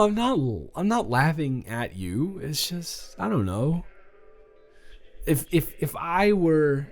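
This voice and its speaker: A man playing a sweet, playfully teasing young college student in a romantic audio roleplay, speaking casually and haltingly with repeated words. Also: medium pitch, vocal fry, exaggerated voice. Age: young adult.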